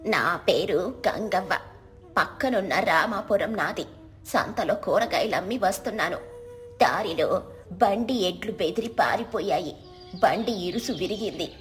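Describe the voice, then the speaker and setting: A woman's voice: medium pitch, measured pace, faint music behind, close to an everyday voice. A woman voicing a timid, worried old village woman who sells vegetables and complains fretfully.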